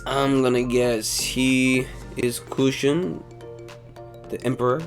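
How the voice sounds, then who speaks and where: A man reading out anime synopses and then wondering aloud about each show in casual, animated commentary. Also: medium pitch, slow pace, faint music behind. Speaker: anime YouTuber.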